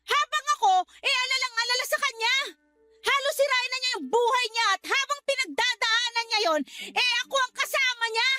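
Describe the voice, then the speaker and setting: A woman's voice: very high-pitched, brisk, pushed and bright, soft background music. An actress playing a bitter young woman who protects her brother, accusing and emotional in a radio drama. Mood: hurt, reproachful. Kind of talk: Tagalog radio drama acting.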